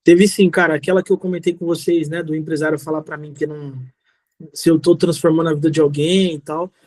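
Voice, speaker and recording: A middle-aged man with a Brazilian accent and a rather high voice, recorded dry and close. He talks like a motivational guest speaker, informal and direct, driving key phrases home by repeating them. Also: flat delivery, fast talker, darkish tone, no echo. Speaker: guest speaker, social entrepreneur. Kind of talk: motivational talk answering audience questions.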